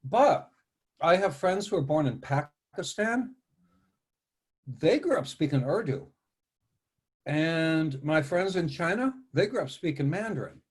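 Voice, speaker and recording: A man with a slightly raised, husky voice talks through ideas like a lecturer, wandering into asides as he goes. He is from the United States and speaks as a behavior analyst in a relaxed group chat. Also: on the high side, unhurried, pauses often. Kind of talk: casual panel discussion.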